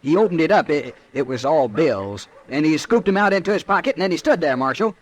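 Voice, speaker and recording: Casting: male actor for a vindictive, blustering storekeeper, animated higher voice, old-time radio. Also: a little fast, close to an everyday voice. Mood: agitated recounting. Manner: blustering, insistent. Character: indignant, vindictive small-town western storekeeper.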